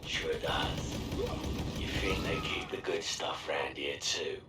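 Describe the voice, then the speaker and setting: An actor playing a shady, wary underworld sidekick in a video game, with a hushed, dry, conspiratorial manner. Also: male voice, very deep voice, slow, loud music behind the voice. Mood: wary, sardonic. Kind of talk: video game cutscene acting. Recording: echoey, distant from the mic.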